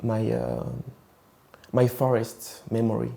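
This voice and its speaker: A man's voice: lowish voice, sing-song, slow pace, gravelly, warm tone. A man in his twenties from Rwanda, answering interview questions hesitantly and searching for words. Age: 20s. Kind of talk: personal interview, switching languages.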